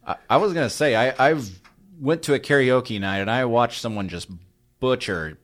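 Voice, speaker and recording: A man hosting a casual interview, asking questions with many pauses and fillers. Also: medium pitch. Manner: hesitant, lots of fillers. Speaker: host.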